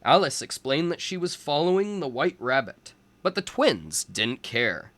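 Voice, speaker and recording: A man reading a storybook aloud as a teacher for young children, in deliberate phrases. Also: on the high side, big pitch swings, fairly bright tone, dry recording.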